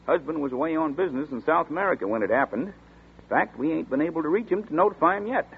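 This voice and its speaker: An actor playing a chatty small-town sheriff's deputy who talks in a folksy rural dialect, in 1940s radio acting. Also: male voice, on the high side, lively intonation, fast, breathy edge, heavily acted. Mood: storytelling. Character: folksy drawling small-town male deputy, middle-aged. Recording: tinny scratchy 1940s radio broadcast.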